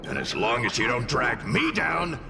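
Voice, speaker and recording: Mocking spirit creature, gravelly, wildly swooping pitch, scornful, blustering game character, music behind. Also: male voice, huge pitch swings, normal speed. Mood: dismissive. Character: brash, mocking, cantankerous tail spirit. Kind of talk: video game character acting.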